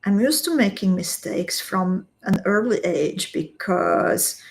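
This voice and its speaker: A woman talks viewers through each beading step, slowly and carefully. Her voice sits in a middle register, and she takes her time over every instruction. Between steps she chats easily with her live audience. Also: medium pitch, lively intonation, creaky, slight background noise. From Slovakia. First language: Hungarian. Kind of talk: live-stream craft tutorial.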